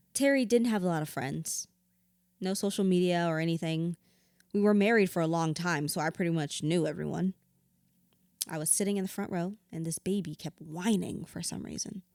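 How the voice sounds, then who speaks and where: Actress doing bitter hurt widow in a therapy audio drama, raw n sweary, emotions swinging, very dry sound. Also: female voice, on the low side, normal speed, darkish tone, no echo, close to an everyday voice. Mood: guarded, bitter. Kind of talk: audio drama acting, confessional dialogue.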